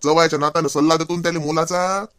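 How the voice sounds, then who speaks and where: A man voicing a henpecked, sarcastic husband in a comedy cartoon, with a Vidarbha Marathi accent and drawn-out, mock-lamenting emphasis. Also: a little high, little pitch movement, fairly bright tone, dry recording, lightly acted. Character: henpecked, grumbling husband and son-in-law. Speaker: comic cartoon character.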